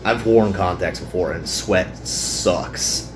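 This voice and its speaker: A man's voice: sits low, takes it slowly, over music. A man hosting a visual novel playthrough with casual, jokey commentary, often interrupting himself. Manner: jokey, animated, self-interrupting. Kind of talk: casual reading and joking commentary.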